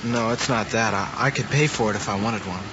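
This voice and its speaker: A man's voice: lowish voice, brisk, lightly acted. Man acting a troubled teenage boy, muffled, hissy sound. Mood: guarded. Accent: American. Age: young adult. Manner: earnest, hesitant, clipped replies. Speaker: actor. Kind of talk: mid-century American television drama acting.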